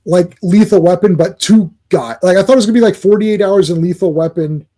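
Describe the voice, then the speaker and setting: A man co-hosting a casual podcast, talking in an animated, rambling way with many restarts. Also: high-pitched, brisk. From Croatian family, born locally. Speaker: podcast co-host. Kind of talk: casual chat.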